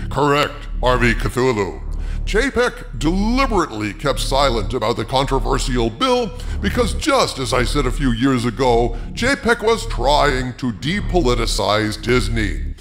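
A man's voice: medium pitch, a bit bright. A man hosting an opinion show, delivering scripted commentary in a theatrical, emphatic, rhetorical manner. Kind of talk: scripted commentary with article readings. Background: background music.

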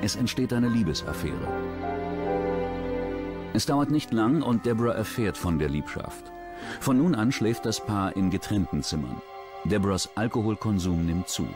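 A man giving serious, measured scripted narration for a true-crime documentary. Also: lowish voice. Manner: measured, serious storytelling delivery. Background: steady background music.

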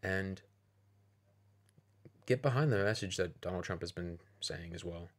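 Deep-voiced man giving measured commentary. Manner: measured, deliberate, persuasive phrasing. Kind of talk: opinionated video commentary.

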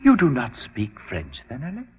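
A man's voice: medium pitch, wildly swooping pitch, normal speed, slightly rough, heavily acted. An actor playing a shrewd, formal, composed male hero in an old radio drama. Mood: cool, wry. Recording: muffled old radio recording.

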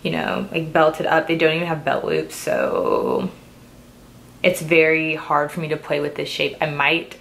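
A woman's voice: sits low, expressive, unhurried, gravelly, a bit bright. Chatty young woman with a stuffy nose. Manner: chatty, frequent "like" and "um" fillers. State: stuffy from a cold. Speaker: fashion vlogger. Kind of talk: casual try-on haul chat.

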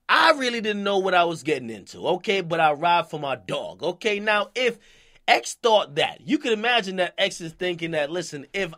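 A man's voice: high-pitched, a bit bright. A man hosting an opinionated, slangy hip-hop commentary, speaking emphatically and punctuating his points with checking questions. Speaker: commentary show host.

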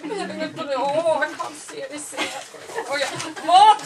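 A woman's voice: high voice, normal speed. A woman voicing a vain, anxious, melodramatic male king of fashion in a puppet show, pompous and theatrical. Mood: alarmed. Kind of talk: live puppet show performance. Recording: distant camera mic, audience noise.

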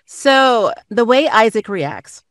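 A woman's voice: a little high, expressive, slow pace, no room sound, studio quality. A woman conducting an enthusiastic, effusive interview over a video call.

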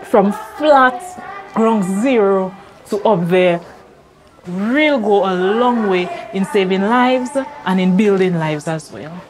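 A woman in her thirties, a primary school teacher, telling her story in an earnest, quick documentary interview with pauses. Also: medium pitch, lively intonation, noisy background. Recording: clear music bed under voice. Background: soft music.

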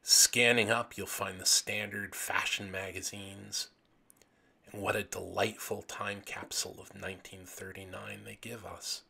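A man with a gravelly, raspy voice narrating an illustrated commentary as host, with enthusiastic, dramatic emphasis on phrases. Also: fairly low pitch, level tone, a little slow, bright, forceful tone. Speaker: host of a video series on vintage pulp magazines.

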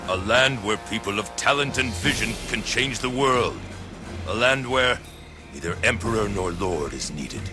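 An actor playing an ambitious, commanding warlord in a video game, with a theatrical, deliberate delivery. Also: male voice, lowish voice, expressive, music in the background. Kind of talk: dramatic English video game dub acting.

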